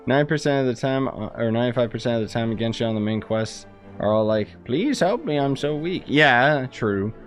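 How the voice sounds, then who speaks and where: A man's voice: mid-range voice, animated, a little fast, soft background music. Sarcastic rambling male game streamer. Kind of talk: casual gameplay commentary.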